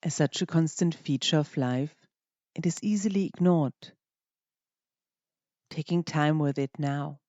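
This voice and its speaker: A meditation teacher gently guiding a practice in short, unhurried phrases with pauses between them. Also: mid-range voice, no room sound, muffled recording. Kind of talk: guided meditation narration.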